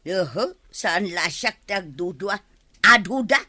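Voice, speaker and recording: A sharp, complaining village gossip. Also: female voice, mid-range voice, lively intonation, unhurried, dry recording. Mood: suspicious, grumbling. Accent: Ilocano. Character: complaining, suspicious village woman, gossipy neighbour. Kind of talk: Ilocano radio comedy drama acting.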